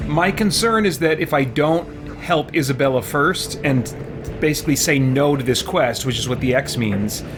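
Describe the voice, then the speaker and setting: A man gives relaxed, casual commentary while playing a game. He thinks aloud and hesitates as he weighs things up, and prominent game music plays behind his voice. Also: fairly high pitch, moderate pace. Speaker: let's play host.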